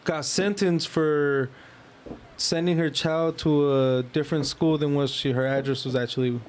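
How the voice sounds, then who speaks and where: A man's voice: a little high, fairly even intonation, a little slow, clear, resonant tone. A man talking casually as a podcast co-host, in blunt, slangy, profane remarks. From Salinas, California.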